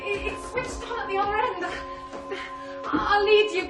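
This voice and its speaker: A high-pitched young wife, loyal and brittle. She sounds like a firm, polite society hostess in a radio play, with music behind her. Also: measured pace. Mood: anxious. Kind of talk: 1990s radio drama acting.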